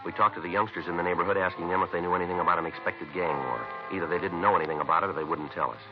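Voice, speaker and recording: A man playing a methodical American police detective in a 1950s radio crime drama. His very low voice moves quickly through times and facts, flat in feeling and terse. The old recording is dull, with faint music behind it. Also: close to an everyday voice. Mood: clipped, deadpan. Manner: clipped, matter-of-fact, deadpan. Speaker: narrator and lead detective.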